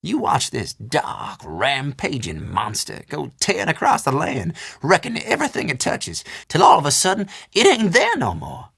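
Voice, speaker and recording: Game role: chatty old country shopkeeper, high creaky voice, dry recording, teasing and folksy. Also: male voice, wildly swooping pitch, moderate pace, hardly any pauses, fairly bright tone, dry recording, heavily acted. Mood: grave, bitter. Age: older. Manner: folksy drawl, colloquial, teasing. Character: folksy, chatty old small-town storekeeper, a man. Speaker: small-town general store keeper. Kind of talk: video game character dialogue.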